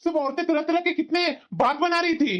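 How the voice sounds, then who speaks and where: A woman's voice: extremely high pitch, steady tone, brisk. A woman voicing an exasperated, dutiful young husband in a family cartoon, reasoning and scolding in a reproachful way. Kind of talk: cartoon family drama dialogue. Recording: dull, muffled lo-fi sound.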